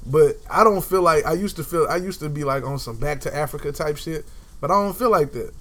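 A man's voice: a little high, animated, fairly quick, fairly bright tone. A young man in casual conversation, talking emphatically and repeating phrases to drive a point home. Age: young adult.

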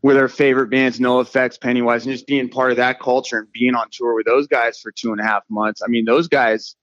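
A man's voice: medium pitch, flat delivery, fairly quick, a bit bright, no room sound, highs cut off. Laid-back rambling middle-aged man. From California. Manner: loose, rambling, full of "like" and "you know". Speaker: freestyle motocross rider, guest. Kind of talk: casual podcast conversation.

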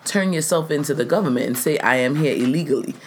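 A man from the United States co-hosting a casual chat, talking in an earnest, emphatic way that gets louder when he makes a point. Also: on the high side, fast, slight background noise.

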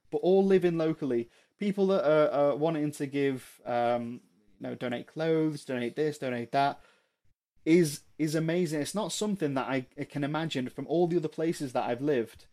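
A man's voice: fairly high pitch, darkish tone. A man in his thirties with a mild Liverpool accent, talking earnestly and reflectively as a guest, with plenty of ums and restarts. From England. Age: 30s. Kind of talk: casual podcast chat.

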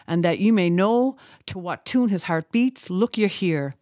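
A woman's voice: lowish voice, animated, unhurried, a little mellow, no echo, clean studio sound. A woman voicing a defiant old male sea captain, declaiming in grand, impassioned speech. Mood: fierce.

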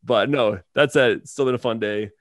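A man's voice: mid-range voice, normal speed, no room sound, studio quality. A man in his thirties hosting a casual podcast, rambling with lots of fillers and easy laughs. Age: 30s. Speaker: podcast host.

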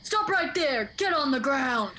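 An actress playing a police officer who shouts commands in a student radio comedy. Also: female voice, sits high, sing-song, a little slow, slight background noise, heavily acted. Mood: commanding. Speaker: actor.

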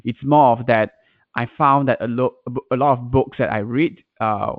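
A man's voice: mid-range voice, steady tone, moderate pace, no echo. A man whose first language is Mandarin, answering casually as a guest in an interview. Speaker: podcast guest, content manager and blogger. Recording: tinny, band-limited internet call audio.

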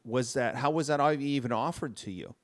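A man's voice: mid-range voice, animated, normal speed. A man who tattoos in the Western style, interviewing a fellow artist in a laid-back way and calling him "man.". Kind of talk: casual interview chat.

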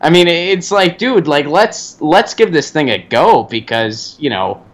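A man appearing as a guest comedian on a podcast, talking in an animated, enthusiastic way with casual swearing. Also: on the high side, measured pace. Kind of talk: casual interview chat.

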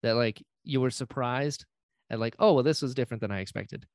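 A man with a medium-pitched voice hosts a casual interview. He asks his questions conversationally, with a joking, self-deprecating manner, and the recording is very dry. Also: a little fast, no room sound. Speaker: podcast host, interviewer.

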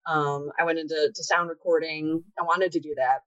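Woman in her 30s, chatty interview guest, slightly low voice, muffled dry recording. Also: flat delivery, normal speed, fairly bright tone, dry recording. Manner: chatty, frequent "like" fillers. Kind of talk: casual conversation. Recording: dull lo-fi sound, dry.

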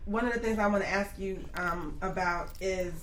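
A woman hosting a casual talk show interview, informal and jokey, with halting phrasing. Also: mid-range voice, fairly bright tone.

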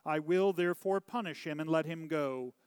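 A man voicing a troubled, pleading Roman governor in a dramatized scripture story, declamatory and deliberate. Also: on the high side, clear, resonant tone, no echo, big-room sound, lightly acted. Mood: pleading, insistent. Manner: emphatic, deliberate, declamatory questioning.

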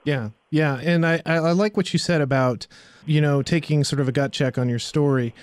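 A man's voice: a little high, steady tone, normal speed, no room sound. A man, hosting a podcast in a conversational interviewing style. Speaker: podcast host. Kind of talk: conversational interviewing and show outro.

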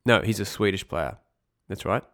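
A young man with a very low voice talks through a casual podcast. He sounds stuffed up from a cold. He rambles, backtracks and drops dry little asides. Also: lively intonation, normal speed, a bit bright. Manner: rambling, self-correcting, wry asides. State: congested with a cold. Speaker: podcast host. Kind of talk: casual esports news podcast.

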